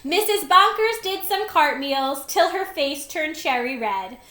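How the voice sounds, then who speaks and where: A woman's voice: sits high, animated, measured pace, bright, forceful tone, reverberant. A woman reading a children's storybook aloud expressively, with dramatic, emphatic phrasing. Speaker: storybook reader.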